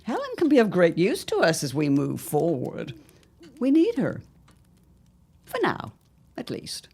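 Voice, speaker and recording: A woman's voice: lowish voice, huge pitch swings, unhurried, darkish tone, husky, heavily acted. A woman playing a shrewd, scheming mother of a grown son in an audio drama, with a grand, theatrical, drawling delivery. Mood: cool, calculating.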